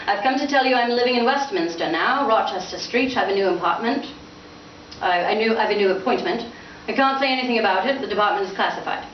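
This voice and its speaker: A woman's voice: fairly high pitch, quick pace, distant mic, close to an everyday voice. A woman voicing a stiff, exasperated grown son, a Victorian policeman, with dry restraint at a live book reading. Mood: dismissive, bitter. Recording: muffled, echoey, noisy room from afar.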